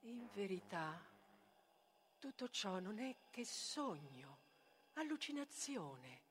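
A woman's voice: mid-range voice, very animated, slow pace, slight background noise. A woman playing a fearful, grieving child in a poetic stage tragedy, reciting in incantatory, broken phrases. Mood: hushed, oracular. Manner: incantatory, declamatory, chanting in short broken phrases. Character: doomed child of Medea, grieving, fearful, oracular.

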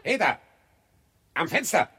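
An actor playing a brusque, husky corpse-cart man who calls out curt, loud orders in a radio play. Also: high voice, big pitch swings, moderate pace, faint music behind, heavily acted. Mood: curt, commanding. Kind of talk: 1960s German radio drama.

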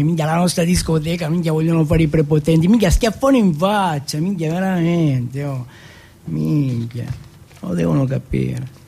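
A cocky teenage street kid, played by a man on stage, husky and fairly high. He talks in slangy, repetitive bursts thick with swearing. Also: a little mellow, heavily acted. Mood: excited, boastful. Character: cocky, foul-mouthed teenage street boys of Turin.

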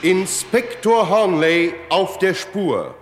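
An actor as a dramatic title announcer for a vintage radio crime serial. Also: male voice, high voice, very animated, slow pace, noisy background, full character voice. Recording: reverberant vintage radio, music behind.

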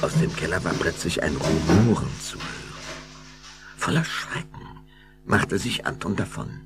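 A man narrating a radio play as a grave, expressive storyteller. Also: deep voice, a little slow, over loud music. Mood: suspenseful.

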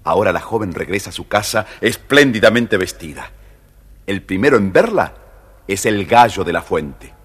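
Lively, low-voiced male storyteller. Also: moderate pace. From Argentina. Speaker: narrator. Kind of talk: scripted children's story narration.

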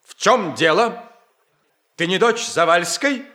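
An actor playing an earnest, hot-headed young suitor with an abrupt, demanding manner in a 1960s radio comedy. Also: male voice, high voice, expressive, slow, bright, forceful tone, heavily acted. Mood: serious, demanding. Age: young adult.

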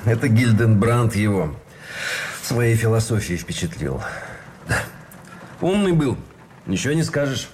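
An actor playing an earnest Russian army officer in a radio play, philosophizing and arguing through rhetorical questions. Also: male voice, mid-range voice, expressive, measured pace, pauses often, darkish tone, a little hiss or hum, natural voice. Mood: reflective.

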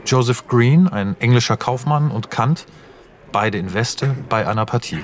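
A man's voice: medium pitch, a little slow, slight background noise, natural voice. An actor as a male narrator reading screenplay directions in a radio drama, factual and clipped in his delivery. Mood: matter-of-fact. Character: matter-of-fact male narrator announcing film-script scenes.

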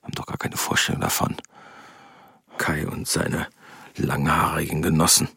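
A man's voice: a bass voice, level tone, normal speed, gravelly, sharp tone, slightly rough, no room sound, studio quality, full character voice. A man playing a stern, aggrieved middle-aged father in a German radio play, grumbling with disapproval. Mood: defensive, bitter.

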